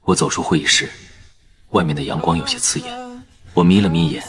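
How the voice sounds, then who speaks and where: A man narrating a first-person story in a calm, even manner. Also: low-pitched, brisk.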